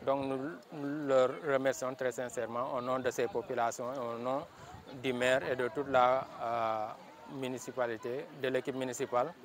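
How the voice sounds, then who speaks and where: A man's voice: mid-range voice, level tone, moderate pace, a crowd in the background. A man with a Senegalese accent speaks as a local elected official, giving a semi-formal statement in an earnest, emphatic way, repeating phrases for stress. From Casamance, Senegal. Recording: outdoor field recording with background noise.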